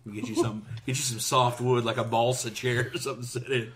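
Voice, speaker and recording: A man's voice: mid-range voice, little pitch movement, quick pace. A man co-hosting a casual podcast, reading out game results and joking between them, laughing often. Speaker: podcast co-host. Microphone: headphones.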